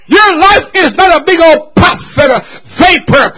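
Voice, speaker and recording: A man preaching an impassioned sermon in church, shouting with his voice breaking from emotion. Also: extremely high pitch, lively intonation, moderate pace. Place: church service.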